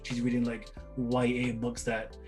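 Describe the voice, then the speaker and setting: A man's voice: mid-range voice, monotone, measured pace, a little mellow, faint music behind. A middle-aged man from Barbados giving casual, rambling interview answers, repeating words and often checking with "right?". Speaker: interview guest, father of the other guest.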